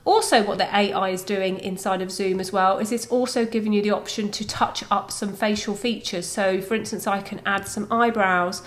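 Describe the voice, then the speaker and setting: Woman doing an informal how-to video, mid-pitched voice, talks you through each step. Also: steady tone, moderate pace, few pauses. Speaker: tutorial presenter. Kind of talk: video software tutorial, explanatory and conversational.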